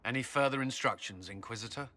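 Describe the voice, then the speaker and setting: Bright, forceful army commander played by an actor. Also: male voice, medium pitch, quick pace, lightly acted. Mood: solemn. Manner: firm, formal. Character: earnest, duty-bound male army commander. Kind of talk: dramatic video game acting.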